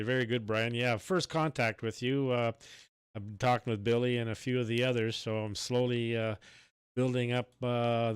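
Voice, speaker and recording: Older man, very clear, dry sound. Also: mid-range voice, no echo. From Canada. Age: 60s or older. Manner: halting, rambling, folksy. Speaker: amateur radio operator and live streamer. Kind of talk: casual ham radio chat.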